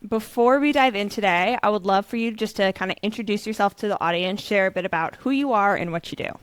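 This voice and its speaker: A woman's voice: medium pitch, fast, clean tone, no echo. A woman hosting a podcast interview, welcoming her guest and responding with enthusiastic, encouraging remarks. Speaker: podcast host.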